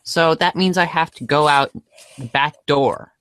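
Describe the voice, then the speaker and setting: A man's voice: fairly high pitch, measured pace, a bit bright, no echo, voice pushed up. A man voicing an anxious, earnest teenage boy gamer who exclaims and whines in a comedic readers' theater. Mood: nervous, pleading.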